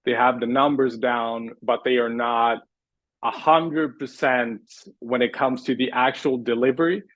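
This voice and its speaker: A man's voice: mid-range voice, steady tone, a little slow, fairly bright tone, no echo, muffled recording. A man from Sweden, a guest answering in a casual interview, earnest and thoughtful with frequent restarts. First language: Swedish. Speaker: guest, language and pitch coach.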